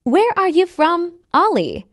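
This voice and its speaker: A woman's voice: very high voice, huge pitch swings, slow, dry recording, clean studio sound. A woman reading a simple English dialogue for learners, carefully enunciated and repeating each line. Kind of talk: slow scripted dialogue reading.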